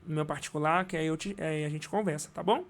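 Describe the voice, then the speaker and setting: A man with a Brazilian Portuguese accent teaching singing in a live online class, talking casually with many restarts and quick checks. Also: fairly high pitch. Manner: casual and rambling, many restarts and tag questions.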